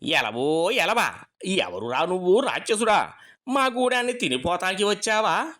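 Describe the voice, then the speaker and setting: Lady doing a deep bossy dwarf chief, rustic talk, drags his chant out slow. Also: big pitch swings, a bit bright. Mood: commanding. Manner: rustic village dialect, drawn-out chanted refrain. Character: old male dwarf king, rustic and bossy.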